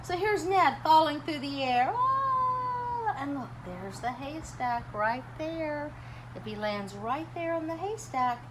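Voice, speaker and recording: A woman gives an animated storytime read-aloud in a fairly high voice that swings widely up and down. She takes her time, pausing to chat and put questions to young listeners in an expressive, dramatic way. There is clear noise behind her. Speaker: teacher reading a children's picture book aloud. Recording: clearly noisy background.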